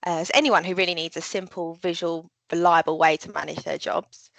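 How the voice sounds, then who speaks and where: A woman in a customer support role presents a product in a live business webinar. She explains things in a friendly, clear way, with a few ums. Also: fairly low pitch, little pitch movement, fairly quick, no room sound, low-quality recording.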